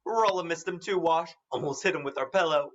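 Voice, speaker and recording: Excited child, dull noisy sound played by a woman. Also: lowish voice, fairly quick, close to an everyday voice. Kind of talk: radio play acting.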